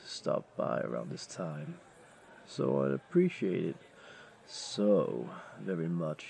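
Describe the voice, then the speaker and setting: A flirty male jaguar beastling played by a man lounges through a tavern roleplay in a very low, creaky voice. His delivery is playful and coaxing, swinging widely in pitch as he teases the listener. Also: warm tone, heavily acted. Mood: relaxed, inviting. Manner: playful, coaxing, unhurried teasing. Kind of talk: roleplay audio drama, speaking directly to listener.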